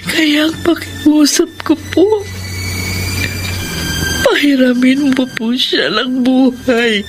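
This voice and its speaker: Slow-speaking sorrowful aunt. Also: female voice, sits high, steady tone, background noise. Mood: tearful prayer. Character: sorrowful, devout, forgiving middle-aged aunt. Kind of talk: emotional radio drama acting.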